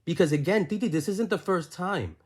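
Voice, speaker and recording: A man's voice: high voice, a little fast. A man in a heated phone argument, insistently repeating himself and pressing question after question. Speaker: caller questioning his aunt.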